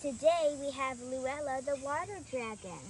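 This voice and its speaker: A female co-host with a high voice chatting casually and reading a children's storybook aloud in a deliberate way. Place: outdoors by a marshy trail. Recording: outdoor recording with clear insect and ambient noise.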